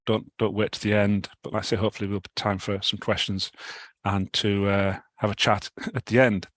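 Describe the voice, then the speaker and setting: A middle-aged British man with a cold, giving a talk over a video call, halting with many restarts. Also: fairly low pitch, flat delivery, a bit bright, no room sound. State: has a cold, clears throat. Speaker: guest speaker. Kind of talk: online talk presentation.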